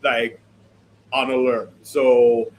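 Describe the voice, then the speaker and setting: A man's voice: medium pitch, very slow, a little hiss or hum, low-quality recording. A man hosting a podcast, chatting casually about fashion looks, sounding stuffy and sniffly from allergies. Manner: chatty, animated, many fillers. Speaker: podcast host. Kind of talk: casual fashion review chat.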